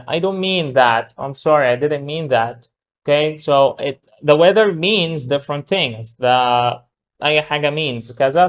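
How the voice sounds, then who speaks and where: A man with an Egyptian Arabic accent teaching English, reading model sentences slowly and explaining them in quick Arabic. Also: a little high. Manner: switches between slow, drawn-out English model sentences and rapid Arabic explanation. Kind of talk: recorded language lesson.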